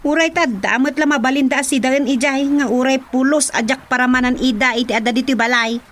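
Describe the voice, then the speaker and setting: A woman's voice: high voice, fairly even intonation, a little fast, a bit bright. A woman with an Ilocano accent playing a touchy, dutiful, fretting village wife in a radio comedy drama. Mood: hurt, arguing.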